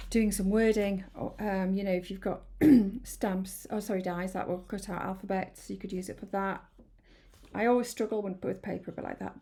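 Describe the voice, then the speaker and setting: A woman chatting through a card-making tutorial, a little croaky from hay fever, rambling and apologetic with many ums. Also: mid-range voice, dark tone. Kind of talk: casual craft tutorial. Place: home craft room.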